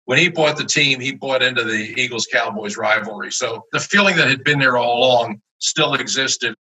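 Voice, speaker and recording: A man's voice: mid-range voice, moderate pace, pushed and bright, slightly rough, rough recording. A man in an interview, speaking thoughtfully about the history of a team. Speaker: interviewee recalling team ownership. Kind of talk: reflective interview.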